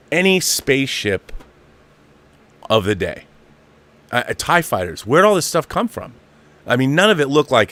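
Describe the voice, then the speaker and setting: A man's voice: mid-range voice, fairly bright tone. A man in his fifties hosting an online show, talking off the cuff in a casual, emphatic fan monologue and repeating phrases for stress. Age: 50s.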